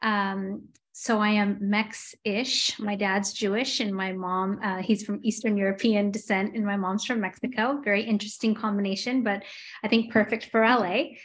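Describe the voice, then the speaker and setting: A woman's voice: medium pitch, fairly even intonation, normal speed. A woman in her forties from Los Angeles, a guest entrepreneur chatting casually in an interview over a video call, with frequent "um" fillers. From Los Angeles, USA. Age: 40s. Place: home room with window blinds.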